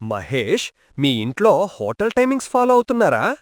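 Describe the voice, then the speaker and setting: A man's voice: on the high side, huge pitch swings, normal speed, dry recording, studio quality. A man voicing a teasing, joking family friend in a cartoon story. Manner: jokey.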